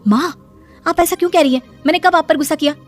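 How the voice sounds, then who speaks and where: A woman plays an unmarried daughter of nearly thirty in a moral-story cartoon. Her voice sits fairly high over light music. She is defiant and protesting, yet polite to her elders. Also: quick pace, close to an everyday voice. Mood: indignant. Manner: indignant, protesting.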